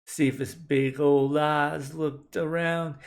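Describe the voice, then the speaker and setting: A man's voice: fairly high pitch, flat delivery, takes it slowly, gravelly. A man narrating a folk tale as host, in a folksy, colloquial way. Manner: folksy, colloquial Southern-style phrasing. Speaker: storyteller, host.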